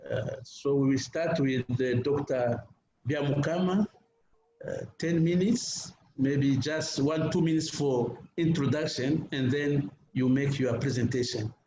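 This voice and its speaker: A man moderating a webinar in a polite, measured way with frequent fillers. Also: mid-range voice. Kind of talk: chairing a webinar. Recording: echoey room with background noise.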